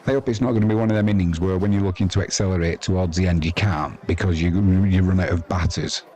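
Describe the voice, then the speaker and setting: Deep-voiced man chatting through cricket. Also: fast, dark tone. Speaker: live cricket commentator. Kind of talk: casual ad-lib sports commentary and chat.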